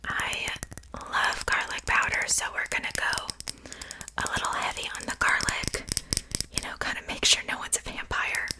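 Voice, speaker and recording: A woman voicing a friendly, chatty witch in a gentle, reassuring, unhurried ASMR roleplay. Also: lightly acted. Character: friendly, chatty witch seasoning a captive for stew.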